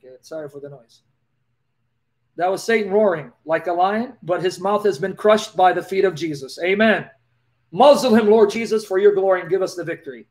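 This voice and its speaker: A man in his forties with a lisp, talking to his webcam in a live question-and-answer stream and reading scripture aloud in an animated, preacherly way. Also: sits high, brisk. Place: small dim indoor room. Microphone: laptop webcam, close.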